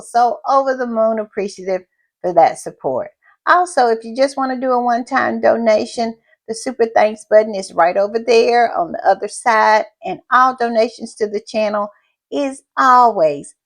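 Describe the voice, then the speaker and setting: A woman hosting her own channel in casual chat, her voice froggy and hoarse, sounding tired and repeating her thank-yous. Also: fairly high pitch. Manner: drawn-out, repetitive thank-yous and southern-style "y'all" asides. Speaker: channel host.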